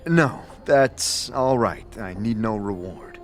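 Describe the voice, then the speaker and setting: A man's voice: fairly low pitch, lively intonation, takes it slowly, soft background music. A man playing a grim, forgetful undead wanderer in a fantasy audio drama, terse and hesitant in an understated way. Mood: polite, reserved.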